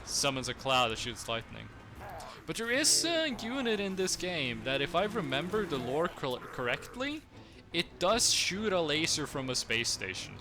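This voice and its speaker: A man's voice: on the high side, very animated, normal speed. A young man from Norway with a Norwegian accent, chatting casually with viewers while he plays a strategy game, rambling with lots of fillers. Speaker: streamer.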